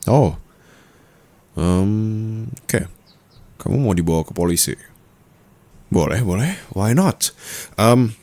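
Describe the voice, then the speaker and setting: A man with an Indonesian accent playing a dominant, teasing, possessive rich husband in an intimate ASMR roleplay, speaking softly and slipping in English endearments. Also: sits low. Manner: soft, slow, mixes in English endearments.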